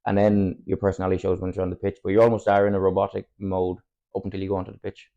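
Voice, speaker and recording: A young Irish man in his twenties, a native Irish speaker, talks at a rapid clip in a low, gravelly voice. He rambles candidly through his answers and chuckles often as a podcast guest. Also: flat delivery, warm tone, lo-fi sound. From Ireland, Cork. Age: 20s. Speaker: footballer guest. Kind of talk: casual podcast chat.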